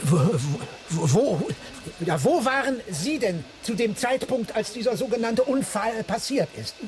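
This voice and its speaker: An actor playing a dogged, ironic editor turned amateur sleuth in a German radio drama, hesitant when pressed. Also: male voice, extremely high pitch, animated, unhurried, music in the background. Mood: flustered.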